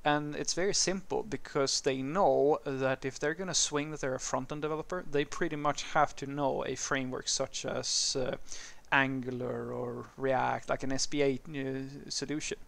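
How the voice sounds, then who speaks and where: Gravelly-voiced Swedish man talking while walking. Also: mid-range voice, fairly bright tone. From Sweden. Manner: conversational, restarts and "uh" fillers. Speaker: programming channel host. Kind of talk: casual solo talk to camera. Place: outdoors on a suburban street.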